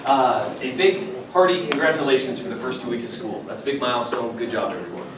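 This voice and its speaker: A man's voice: mid-range voice, fast, heavy background noise. A man, a school administrator, making informal announcements to colleagues at a staff meeting. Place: large room at a school faculty meeting. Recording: very echoey room, distant mic, audience murmur.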